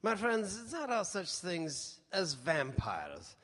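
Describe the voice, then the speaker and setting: An actor playing a solemn, commanding old professor who hunts vampires, with formal, portentous radio-drama delivery. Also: male voice, high-pitched, wildly swooping pitch, takes it slowly, a bit bright, heavily acted. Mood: impassioned gravity. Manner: portentous, formal, emphatic oratory. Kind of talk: live radio drama acting. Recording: live stage broadcast in reverberant hall.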